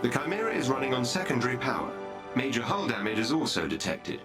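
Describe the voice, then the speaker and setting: An actor playing a polite, unflappable AI butler in a video game, formal, precise and deferential. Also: male voice, mid-range voice, very animated, fast talker, loud music behind the voice. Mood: courteous, composed.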